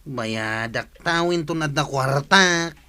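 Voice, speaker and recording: An actor playing a broke, lazy tricycle driver who whines and pleads, in a comic radio drama with an Ilocano accent. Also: male voice, fairly high pitch, slow pace, sharp tone, clear, resonant tone. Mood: pleading.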